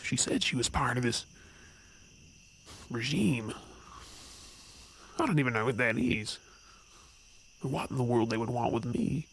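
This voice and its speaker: A man with an Australian accent playing a burdened, wary young werewolf in an ASMR audio drama, talking casually and haltingly. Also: mid-range voice, creaky, a bit bright, a little hiss or hum. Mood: troubled, bewildered.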